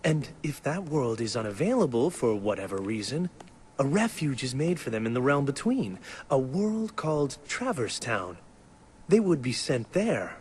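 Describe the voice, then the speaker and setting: A man's voice: medium pitch, big pitch swings, moderate pace, darkish tone, a little hiss or hum, close to an everyday voice. An actor playing a learned, composed man in a video game, explaining things in a formal, precise way. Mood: measured.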